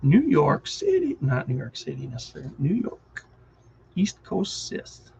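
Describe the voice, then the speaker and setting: A man's voice: on the high side, huge pitch swings, unhurried, creaky, darkish tone, dull audio, a little hiss or hum. A middle-aged man with a Wisconsin accent, chatting casually and rambling on a live stream, often distracted and chuckling.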